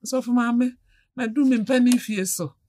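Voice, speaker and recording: A woman's voice: fairly high pitch, measured pace, dark tone. A woman, a guest on a radio talk show, speaking earnestly and laughing easily. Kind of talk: casual radio chat.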